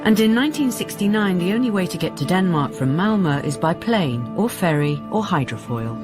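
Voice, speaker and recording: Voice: mid-range voice, big pitch swings, brisk, music in the background. A narrator delivering scripted radio drama narration.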